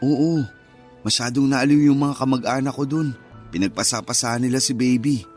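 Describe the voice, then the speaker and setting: Radio drama husband: man playing a steady, patient young father with medium pitch, faint music behind. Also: moderate pace, lightly acted. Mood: calm, reassuring. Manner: patient, explanatory. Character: calm, reassuring young husband and new father.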